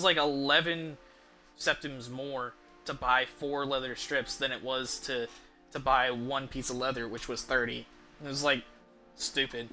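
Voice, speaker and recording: A man's voice: mid-range voice, bright, forceful tone. A man casually commentating on his video game as a streamer, rambling and thinking aloud with offhand swearing.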